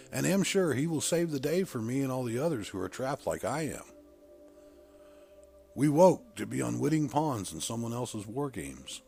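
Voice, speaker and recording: A man's voice: mid-range voice, vocal fry, a little mellow. A man reading a first-person story in a scripted narration style, stressing the openings of his phrases dramatically. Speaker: audiobook narrator reading fiction. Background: music.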